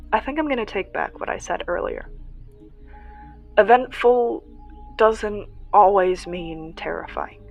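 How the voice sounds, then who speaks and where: A woman playing an earnest young survivor who hosts a radio show in an audio drama, confiding reflectively in listeners with halting pauses. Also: mid-range voice. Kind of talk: first-person audio drama monologue, podcast-style confiding.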